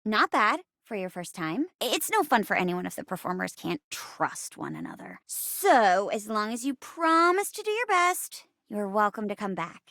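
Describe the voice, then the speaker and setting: A woman's voice: mid-range voice, big pitch swings, moderate pace, few pauses, bright, forceful tone, no room sound, studio quality. A woman voicing a peppy living chair in a video game, a theatrical, giggly and encouraging improv show host. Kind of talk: video game character voice acting.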